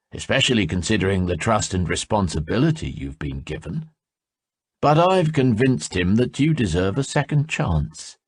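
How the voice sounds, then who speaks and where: A man voicing a smooth, menacing young male envoy who speaks low, level and slow. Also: no echo, clean studio sound, full character voice.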